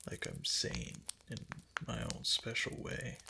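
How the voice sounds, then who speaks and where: A man playing a doting, obsessively devoted fox-spirit husband in an intimate roleplay ASMR, speaking softly and tenderly in breathy, halting endearments. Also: mid-range voice, big pitch swings, noisy background, heavily acted. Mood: tender, adoring. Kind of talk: intimate roleplay ASMR, soft and partly whispered.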